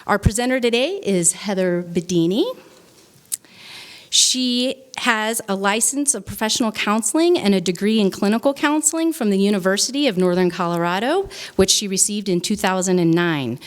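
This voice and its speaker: A woman's voice: mid-range voice, moderate pace. A woman hosting a live training event, welcoming the audience and reading a speaker's biography aloud in an orderly way. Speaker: event host introducing speaker. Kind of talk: formal introduction at a training event. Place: conference room with live audience.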